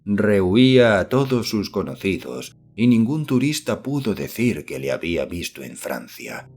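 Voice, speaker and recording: Low-pitched man narrating an audiobook. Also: sing-song, unhurried, darkish tone. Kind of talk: scripted literary narration of a horror novel.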